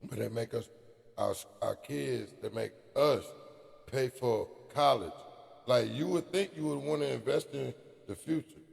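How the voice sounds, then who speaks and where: A man, chatting on a podcast, laid-back and quick to laugh. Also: medium pitch, darkish tone. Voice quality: raspy. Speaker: podcast co-host. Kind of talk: casual chat. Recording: hall-like reverb.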